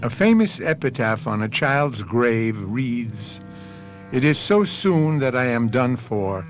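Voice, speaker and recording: A man hosts a scripted radio mystery with music clearly behind him. His mid-pitched voice moves widely in pitch. The delivery is urbane, theatrical and drily sardonic. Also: expressive, slow. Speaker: host and narrator.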